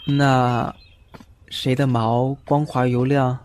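A man playing a feisty, vain, jealous young male fox spirit in a Chinese audio drama, bratty and excitable. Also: mid-range voice, takes it slowly, slight background noise, natural voice. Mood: showing off.

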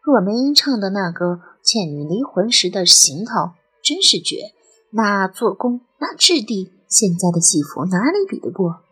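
A woman playing a lively, playful and chatty nineteen-year-old girl in an audio drama. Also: mid-range voice, normal speed, soft background music, rough recording. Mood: excited, proud. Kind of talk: Chinese audio drama acting.

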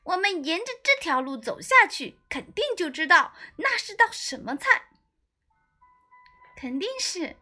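An eager little mouse from a children's tale, acted by a woman. It chirps emphatically in a high, bright voice, keen to help its friends. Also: very animated, measured pace, faint music behind, exaggerated voice. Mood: eager, excited. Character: pair of eager little mice, speaking as one.

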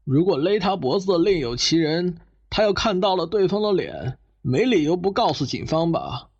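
A man's voice: fairly high pitch, big pitch swings, a little mellow, husky, exaggerated voice. An actor playing a skeptical middle-aged father who objects conversationally in a Chinese audio detective drama. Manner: doubting, conversational, quick to object. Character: skeptical middle-aged father, matter-of-fact relative in family debate. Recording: slightly muffled, music underneath.

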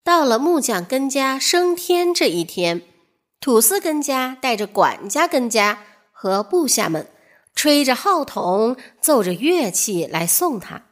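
A woman reads a children's fairy tale as a storyteller. Her voice is fairly high and very lively in pitch, and she takes her time, narrating with measured expression.

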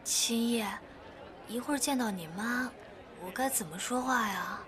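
A woman acting a sweet, homely young girlfriend, coy and gently scolding, in a Chinese audio drama. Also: on the high side, measured pace, a crowd in the background, close to an everyday voice. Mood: anxious.

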